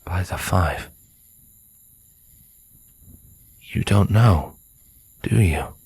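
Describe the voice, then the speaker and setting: A man voicing a cold, proud male pony assassin with a haughty, measured, formal way of speaking. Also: sits low, lively intonation. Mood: stunned disbelief.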